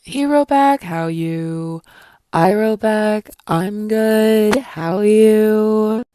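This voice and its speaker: A woman's voice: medium pitch, extremely slow, warm tone, clear, resonant tone, no room sound, clean studio sound. A woman telling a casual, jokey storytime to the camera, amused by her own tale.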